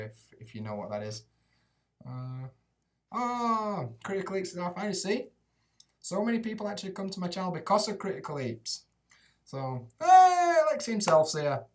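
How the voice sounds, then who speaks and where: A man's voice: mid-range voice, darkish tone, distant mic. A young man with a Yorkshire accent answering viewer chat on a live stream, casual and sweary with lots of "like" and "mate". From England, Rotherham area. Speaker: YouTuber living in Japan. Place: small room indoors with shelves behind.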